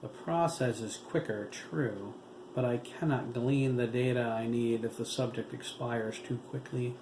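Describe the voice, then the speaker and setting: A man reading the part of a cold, clinical male doctor who speaks in a measured, matter-of-fact way. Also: medium pitch, close to an everyday voice. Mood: detached. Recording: roomy room with steady hiss.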